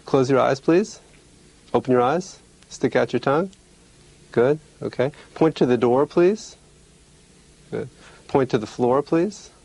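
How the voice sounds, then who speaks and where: A man speaking as a clinician demonstrating an exam, giving polite, measured, clearly worded instructions and explanations. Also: mid-range voice, lively intonation, darkish tone.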